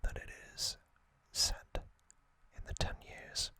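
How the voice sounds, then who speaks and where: A man whispers unhurriedly and almost entirely without voice, right up against a sensitive microphone. His breathy whisper sounds very crisp and bright, and he fills the pauses with soft "uh"s and "um"s as he shares fan trivia. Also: dry recording. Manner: soft unvoiced whisper with frequent "uh" and "um" fillers. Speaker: ASMR channel host. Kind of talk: whispered ASMR trivia talk.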